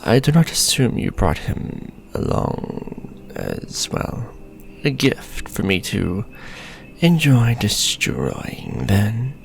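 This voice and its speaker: A man playing a condescending, possessive aristocratic vampire lord in a fantasy audio roleplay, speaking in pompous, drawn-out formal phrases. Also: fairly low pitch, wildly swooping pitch, creaky, a little hiss or hum, heavily acted. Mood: mocking, possessive.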